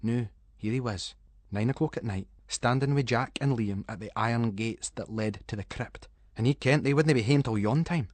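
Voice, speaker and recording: A Scottish man narrating a ghost story in Scots dialect, with an expressive, suspenseful storytelling delivery. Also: medium pitch, normal speed, no room sound. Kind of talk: dramatic scripted narration of a ghost story for schools radio.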